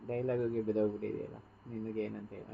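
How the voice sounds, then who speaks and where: A man's voice: on the low side, little pitch movement, fast, vocal fry. A man on a phone call, resigned and sarcastic in an argument. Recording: dull phone call with faint hiss.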